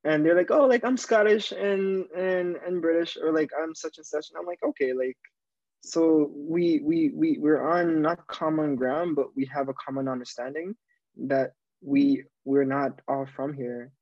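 A man's voice: a little high, fairly even intonation, a little slow, darkish tone, dry recording. A young man as a podcast guest, speaking frankly with an exasperated edge and repeating words as he goes. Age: young adult. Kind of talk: casual conversational interview. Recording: dry, muffled lo-fi audio.

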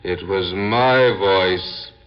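An actor playing a vengeful male ghost in an old-time radio drama, delivering slow, taunting threats. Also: deep voice, clear, resonant tone, faint music behind. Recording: dull lo-fi old radio recording.

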